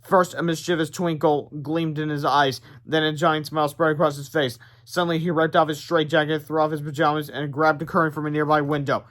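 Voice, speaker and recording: A man's voice: a little high, flat delivery, fairly quick, hardly any pauses, fairly bright tone. A man narrating a children's storybook aloud in a theatrical, informal way.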